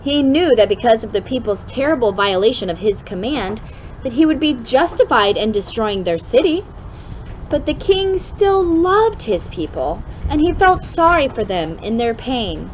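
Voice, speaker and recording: Lady reading a kids book out loud, really up and down voice, kinda muffled with noise behind her. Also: fairly high pitch, sing-song, normal speed. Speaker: storybook reader for a kids club. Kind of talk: expressive read-aloud of a children's allegory.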